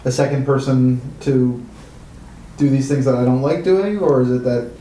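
Mellow male interviewer in echoey room. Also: medium pitch, normal speed. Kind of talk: casual conversational interviewing. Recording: echoey room with background noise.

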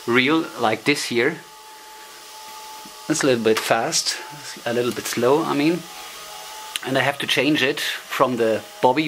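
Male, German accent, native German speaker. Casual demonstrator, hesitant and self-conscious, mid-pitched, on noisy amateur camera audio. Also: fairly bright tone. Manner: hesitant, self-conscious, searching for English words. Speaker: hobbyist demonstrator. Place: indoor room, beside tape machines. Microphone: camera microphone.

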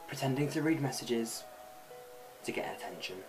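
A man's voice: medium pitch, expressive, moderate pace, darkish tone, low-quality recording. A young man hosting a comedic numbered list to camera in a small bedroom. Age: young adult. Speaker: video host. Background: music.

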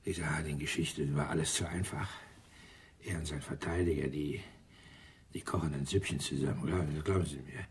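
An actor playing a grumpy, gloomy middle-aged police inspector in a radio crime drama, grumbling and blustering. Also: male voice, a bass voice, fairly even intonation, normal speed, a little hiss or hum. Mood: gloomy, pained. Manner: grumbling, blustering, self-deprecating.

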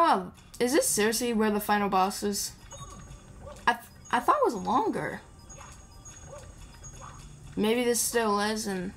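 Game music plays behind a woman with a mid-pitched voice. She gives casual, off-the-cuff gameplay commentary. Her manner is rambling and playful, as if chatting with both viewers and the characters. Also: fairly bright tone. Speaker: gaming video creator. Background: video game music.

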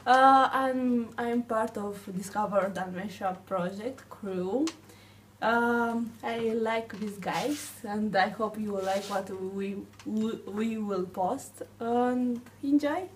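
A young woman introducing herself to a camera in a small office, with many fillers and pauses. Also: a little high. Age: young adult. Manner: hesitant, filler-heavy. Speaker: team member. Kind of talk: casual self-introduction. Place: small office with green walls. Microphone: far camera. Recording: distant camera, faint hiss.

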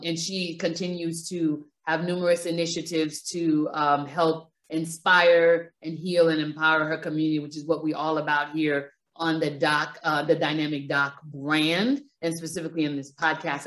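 A woman's voice: deep voice, a bit bright. A woman with a Caribbean accent hosting a podcast from a small room over a video call, talking with many fillers and restarts. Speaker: podcast host. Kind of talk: podcast introduction and interview. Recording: roomy echo.

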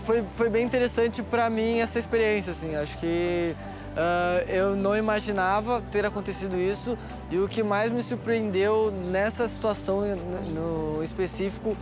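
A Brazilian man in his twenties talking casually in an outdoor interview. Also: sits high. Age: 20s. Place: outdoors on a busy campus square.

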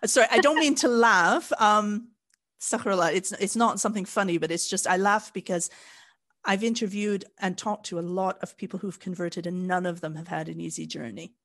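A woman's voice: mid-range voice, normal speed, a bit bright. A woman with a Canadian accent co-hosting a podcast, talking in long, thoughtful explanations with some ums. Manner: thoughtful, talks in long explanatory sentences with fillers. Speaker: podcast co-host. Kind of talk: casual podcast chat.

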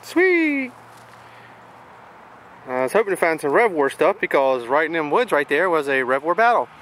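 A man's voice: a little high, sing-song, moderate pace, fairly bright tone. A man chatting casually and jokingly while hosting a handheld vlog, often repeating his phrases. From US South. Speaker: vlog host, relic hunter. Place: open farm field outdoors. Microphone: handheld camera microphone. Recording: noisy windy outdoor camcorder audio.

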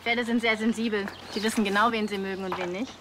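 Lady acting as confident horse rider, quick talker, farmyard noise around her. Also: mid-range voice, steady tone, natural voice. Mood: assured. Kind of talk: TV crime drama acting. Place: outdoors. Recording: clear outdoor farmyard noise.

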